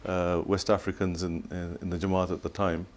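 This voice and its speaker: A man's voice: low voice, little pitch movement, moderate pace. An older man from Tanzania, a studio guest on a telethon, speaking reflectively with many restarts.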